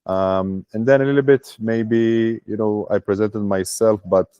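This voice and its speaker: Deep-voiced man from Israel, hesitant. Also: fairly even intonation, unhurried, mellow, smooth, no room sound, highs cut off. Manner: hesitant, many fillers. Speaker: startup founder. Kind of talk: casual business video call.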